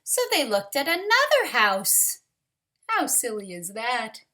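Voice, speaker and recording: Lady kindergarten teacher doing storytime for little kids, slow and deliberate, bright voice. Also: a little high, wildly swooping pitch. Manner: deliberate, addressing children. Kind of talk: read-aloud storytime for young children.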